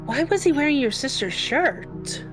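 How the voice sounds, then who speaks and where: A woman with a fairly high, animated voice takes her time with casual roleplay banter. Music is clearly heard behind her. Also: moderate pace. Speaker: tabletop game player.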